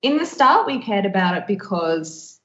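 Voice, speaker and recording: A woman's voice: medium pitch, sing-song, normal speed, a bit bright. A woman talking frankly and emphatically as a podcast host in a casual chat, slightly muffled.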